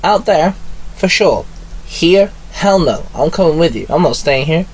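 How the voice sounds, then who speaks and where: A man doing a cocky teen sidekick, high-pitched. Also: very animated, unhurried, darkish tone, breathy edge, heavily acted. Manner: cocky, grinning. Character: cocky teenage boy sidekick.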